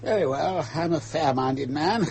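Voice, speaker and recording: Irascible ship's captain, radio drama, gruff, slow-paced, swooping pitch, suspicious and commanding. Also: male voice, expressive, darkish tone, a little hiss or hum. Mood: stern, suspicious. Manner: indignant, gruff, authoritative. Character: blustering, suspicious ship's captain, irascible yet self-declaredly fair. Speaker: actor.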